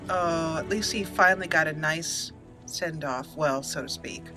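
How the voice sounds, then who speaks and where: A woman's voice: low voice, measured pace, music in the background, poor audio. A woman giving casual, chatty roleplay commentary on a game, slipping into emotive, story-like narration. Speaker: let's play host and gamer.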